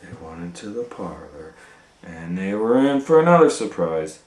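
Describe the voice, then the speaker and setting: A man's voice: low voice, sing-song, moderate pace. A man reading a children's story aloud as an educator, asking rhetorical questions and explaining the pictures to young listeners. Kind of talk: animated children's storytime narration.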